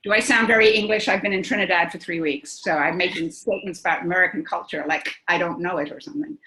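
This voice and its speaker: A woman's voice: on the low side, fairly even intonation, quick pace, sharp tone, poor audio. A woman speaking at a pediatric workshop, thinking aloud and asking rhetorical questions as she makes her point.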